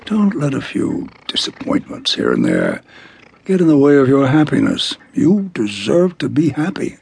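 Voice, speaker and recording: Gravelly, doting old grandfather. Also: medium pitch, huge pitch swings, a little mellow, full character voice. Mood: gently concerned. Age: older. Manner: halting, folksy exclamations and sighs. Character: gentle, doting elderly grandfather, kindly and folksy. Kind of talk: audio drama acting.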